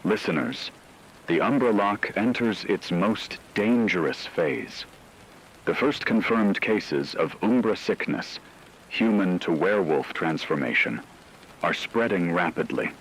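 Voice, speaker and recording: A man playing a solemn radio news anchor, reading a formal, measured emergency broadcast in a scripted drama. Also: sits low, animated, creaky, a bit bright, slight background noise, full character voice, poor audio. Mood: grave, urgent. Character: grave male radio news anchor reporting a werewolf apocalypse.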